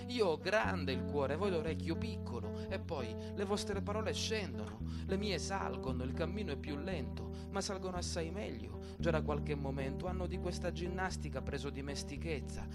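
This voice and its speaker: A man's voice: on the high side, lively intonation, moderate pace, few pauses. Man as Cirano, eloquent, commanding romantic poet. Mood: ardent, lyrical. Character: Cirano, proud, commanding poet secretly in love. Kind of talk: radio theatre dialogue. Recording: voice over prominent plucked guitar music.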